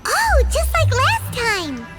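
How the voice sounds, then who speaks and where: An excitable little fairy companion for an anime video game. The voice is tiny and childlike, extremely high and very animated in pitch. Prominent music plays behind it. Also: female voice, slow pace, full character voice. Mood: nervous, amazed. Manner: refers to self in third person.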